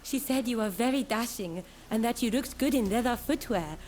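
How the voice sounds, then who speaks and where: Woman acting high-voiced, welcoming young geisha. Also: animated, normal speed, darkish tone, slight background noise, close to an everyday voice. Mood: cheerful, welcoming. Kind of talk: video game cutscene acting.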